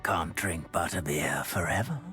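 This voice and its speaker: An actor playing a menacing, sneering crime boss in a wizarding video game, with a mock-genteel manner. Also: male voice, low-pitched, a little slow, pushed and bright. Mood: sneering menace. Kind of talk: dramatic video game acting. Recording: music behind the voice.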